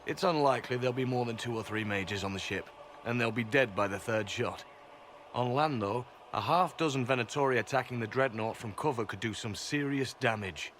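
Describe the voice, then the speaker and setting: A man acts out a disciplined agent in a fantasy game. He speaks in a mid-pitched voice, measured, firm and earnest. Faint noise sits behind the voice. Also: a bit bright, close to an everyday voice. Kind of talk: video game dialogue.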